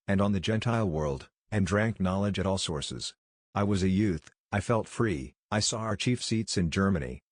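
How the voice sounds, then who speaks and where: A man's voice: low-pitched, no echo, clean studio sound. A man voicing a frail, ailing visionary scholar who speaks with fervent, solemn, old-fashioned phrasing.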